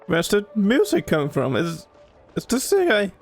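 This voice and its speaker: A woman giving casual, offhand let's play commentary with a creaky voice, muttering and repeating words as she plays. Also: medium pitch, wildly swooping pitch, moderate pace. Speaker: gamer playing and commenting. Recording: faint game music behind the voice.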